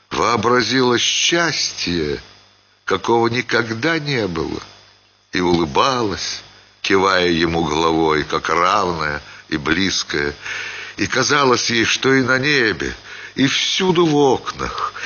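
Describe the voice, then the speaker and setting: A man giving an expressive, theatrical reading of a literary story as a radio actor. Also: fairly low pitch, lo-fi sound. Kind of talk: dramatic literary narration.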